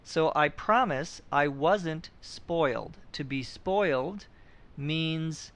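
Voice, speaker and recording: A middle-aged man from Minnesota hosting an English lesson podcast, speaking clearly and deliberately as he explains expressions. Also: on the high side, a bit bright. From Minnesota, USA. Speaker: podcast host and English teacher. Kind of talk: scripted English lesson for learners.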